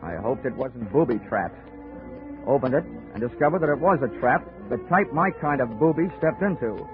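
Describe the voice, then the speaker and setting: A man's voice: mid-range voice, lively intonation, moderate pace, close to an everyday voice. Guy playing a hard-boiled insurance detective, dry wisecracks, old radio, thin lo-fi audio, music. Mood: wry. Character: wisecracking tough male insurance investigator. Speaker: narrator and lead. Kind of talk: 1940s radio detective drama.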